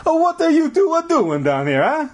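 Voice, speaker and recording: A man voicing a tiny, menacing old night janitor with a mock Italian accent and halting, drawn-out questions. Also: very high voice, huge pitch swings, voice pushed up, heavily acted. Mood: suspicious, scolding.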